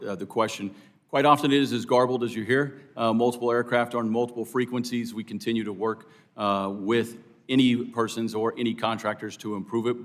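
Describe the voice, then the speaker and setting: A man who was an air traffic controller, giving polite, formal testimony at a hearing. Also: medium pitch. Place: hearing room.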